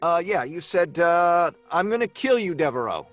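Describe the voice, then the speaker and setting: An excitable, flippant writer in a vintage radio thriller, bantering and mockingly unafraid. His voice sits high and moves around a lot, with faint music behind it. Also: moderate pace. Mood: breezy, curious. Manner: breezy, animated, bantering. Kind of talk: old-time radio drama acting.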